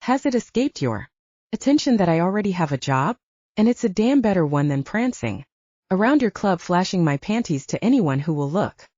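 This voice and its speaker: A woman voicing a defiant, sharp-tongued young she-wolf, indignant and sarcastic, in audiobook dialogue. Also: on the low side, sing-song, moderate pace, no echo, dull audio.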